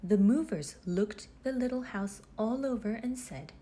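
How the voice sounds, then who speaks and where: A woman reading a children's storybook aloud in measured phrases with deliberate pauses, her husky voice turning breathy as phrases end. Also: on the high side, mellow. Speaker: storybook reader. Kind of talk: children's read-aloud narration.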